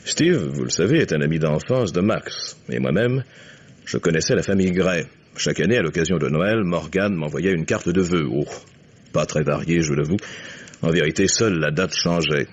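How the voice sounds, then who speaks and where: A shrewd older gentleman in a 1950s radio mystery, with a very deep voice. He speaks courteously and deliberately, with suave irony and quiet command, on a dull vintage recording. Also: slightly rough. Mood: ironic, triumphant.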